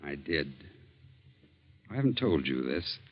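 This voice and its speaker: An actor playing a firm, blunt family doctor in a 1940s radio drama. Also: male voice, extremely low pitch, big pitch swings, unhurried, exaggerated voice. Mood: stern. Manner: commanding, blunt. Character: firm, stern male family doctor confronting a drunkard. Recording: dull 1940s radio broadcast recording.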